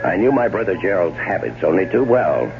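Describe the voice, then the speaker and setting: A man's voice: deep voice, sing-song, brisk. An actor playing a scheming, sardonic middle-aged American man in a radio drama, on a muffled, noisy old broadcast. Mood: smug, wry. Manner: sardonic, theatrical. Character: scheming, wry, well-off man plotting murder. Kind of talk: 1970s radio drama acting, first-person narration and dialogue.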